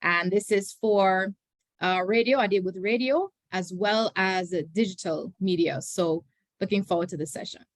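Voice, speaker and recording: A woman's voice: medium pitch, normal speed, dry recording. A woman introducing herself in an orderly, articulate way as a radio coordinator at an online meeting.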